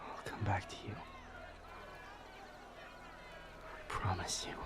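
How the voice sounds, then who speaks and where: A man playing a shaken, devoted demon lover in an audio drama, halting and breathy as he speaks. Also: very noisy, natural voice. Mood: tender, worried. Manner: halting, stammering, breathy urgent delivery. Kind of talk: intense dramatic audio roleplay acting.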